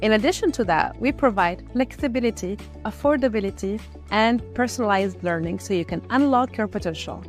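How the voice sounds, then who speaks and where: A woman's voice: medium pitch, lively intonation, brisk, hardly any pauses. Algerian woman, scripted promo over music. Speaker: founder presenting an e-learning platform. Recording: music behind the voice.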